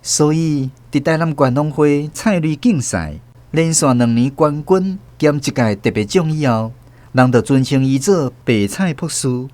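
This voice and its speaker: A man from Taiwan, host and narrator of a radio drama, reading the story in a measured, expressive way. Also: on the high side.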